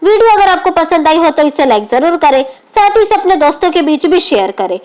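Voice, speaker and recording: A woman's voice: very high-pitched, very animated, fairly quick, a little mellow. A woman reads a scripted, emotional moral story as its narrator.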